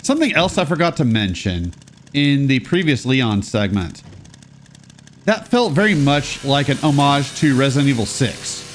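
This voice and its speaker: A man's voice: mid-range voice, sing-song, moderate pace, a little hiss or hum. A congested young man giving casual, sarcastic gameplay commentary as a let's play host.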